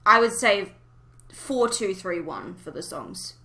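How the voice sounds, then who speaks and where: A woman's voice: medium pitch, big pitch swings, slow, vocal fry, pushed and bright. A woman hosting a casual fan podcast, chatting informally and giving blunt opinions. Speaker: podcast host.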